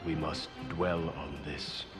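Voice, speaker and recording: An actor voicing a grave adult male warrior in a 1980s cartoon clip, speaking in a deep, solemn voice. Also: flat delivery, slow pace. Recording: echoey cartoon clip with music.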